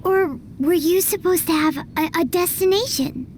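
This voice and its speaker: A woman voicing a tiny, excitable female fairy companion in a video game, chatty and eager, referring to herself in the third person. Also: very high-pitched, normal speed, slight background noise. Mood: chirpy, eager. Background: soft game music.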